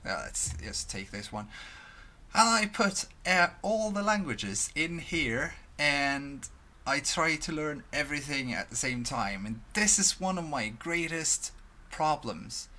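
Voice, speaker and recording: A young Swedish man talking to his webcam in English, halting with many restarts and fillers. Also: on the high side, animated, pushed and bright. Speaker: vlogger. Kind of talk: casual talk to camera. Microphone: headphones, desk mic at webcam.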